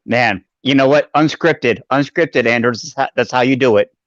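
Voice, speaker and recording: Joking male co-host, casual halting banter, close dry sound, slightly lo-fi video call. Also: mid-range voice, fairly bright tone, no room sound. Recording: dull lo-fi sound.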